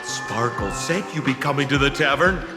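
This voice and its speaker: A man's voice: medium pitch, lively intonation, normal speed, close to an everyday voice. A man playing a flamboyant, chummy rogue in a video game, with theatrical, teasing delivery. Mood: gleeful, teasing. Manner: theatrical, teasing, refers to himself by name. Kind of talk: video game character acting. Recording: echoey hall reverb, music behind. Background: game music.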